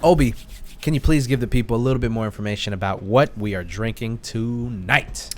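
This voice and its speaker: A man's voice: medium pitch, a little fast, background noise. Rambling man hosting a beer podcast. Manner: rambling, with restarts and laughs. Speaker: podcast co-host. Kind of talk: casual chat over beers.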